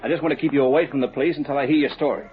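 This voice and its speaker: An actor playing a furtive, commanding attorney with a bossy, urgent manner in a 1950s radio drama. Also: male voice, medium pitch, fairly even intonation, very fast, soft background music, natural voice. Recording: muffled, noisy vintage radio recording.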